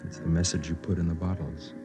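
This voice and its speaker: Very deep, lonely, earnest radio-drama actor as a man. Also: steady tone, close to an everyday voice. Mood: tender, wistful. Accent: American. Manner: measured, earnest, tender. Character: lonely, gentle man narrating and playing himself. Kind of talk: 1950s American radio drama acting. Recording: vintage 1950s radio with background music.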